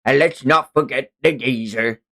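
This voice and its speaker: A man's voice: mid-range voice, normal speed, creaky, fairly bright tone. A man voicing a cruel, greedy pirate captain and slave trader in a manga read-along, sneering with archaic seafaring phrasing. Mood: contemptuous.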